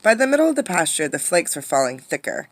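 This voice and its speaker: Female teacher for picture-book narration: lowish pitch, dry close sound, expressive, questioning. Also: no room sound. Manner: expressive, pausing to ask children questions. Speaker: teacher reading aloud. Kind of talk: interactive storybook read-aloud.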